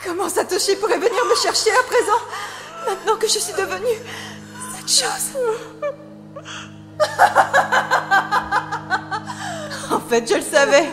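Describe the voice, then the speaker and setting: An unhinged, vengeful teenage anime villainess with a very high, wildly varied voice. She is taunting and theatrical in her menace. The sound is heavily reverberant, with music clearly behind it. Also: expressive, takes it slowly, pauses often, heavily acted. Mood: broken realization. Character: cruel, vengeful, unhinged teenage girl villain tormenting victims. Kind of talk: French anime fandub acting.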